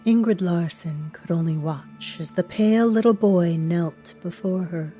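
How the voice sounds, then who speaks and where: A woman narrating a horror audio drama in a solemn, hushed, deliberate and dramatic storytelling manner. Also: fairly low pitch, faint music behind, natural voice.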